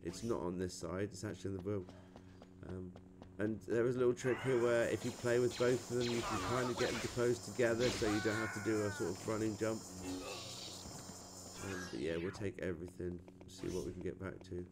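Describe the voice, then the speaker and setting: British guy doing a chatty game walkthrough, kinda low voice, thinking out loud, noisy clicky home mic. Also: fairly even intonation, fairly quick. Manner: chatty, informal, thinking aloud. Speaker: gamer recording a playthrough. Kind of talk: casual game walkthrough commentary.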